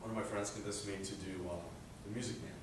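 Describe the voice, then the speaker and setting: Low flat-voiced teenage boy, echoey. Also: quick pace. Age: teens. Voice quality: low speaking voice. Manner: earnest, rambling with many "uh" fillers. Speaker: high school student presenting a senior project. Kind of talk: presentation speech. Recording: echoey room, distant mic, background noise.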